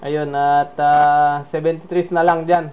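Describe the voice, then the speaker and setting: A man from the Philippines holds a relaxed ham radio contact in a slightly high, very flat voice. His talk is unhurried and full of small hesitations, and the audio sounds dull and lo-fi. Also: a little hiss or hum. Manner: steady and unhurried, with frequent fillers. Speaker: amateur radio operator.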